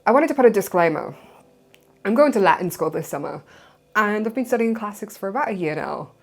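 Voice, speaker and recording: A woman's voice: medium pitch, expressive, fairly quick. A young woman with a Welsh accent, hosting a history channel in a chatty, informal monologue. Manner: chatty and informal, with loose asides. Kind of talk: casual educational monologue.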